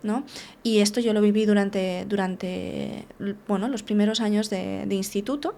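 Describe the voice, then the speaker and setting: A woman's voice: mid-range voice, moderate pace, vocal fry, dark tone, a little hiss or hum. A woman tells her story in a frank, unguarded way. She sits as a therapist and interview guest. She restarts her phrases often and keeps checking in with little tag questions.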